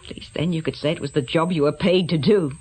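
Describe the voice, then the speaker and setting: A woman's voice: deep voice, fast talker, a little mellow, breathy edge. An actress playing an earnest, reserved woman in a radio drama, speaking with restraint. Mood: pleading. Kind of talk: naturalistic British radio drama acting.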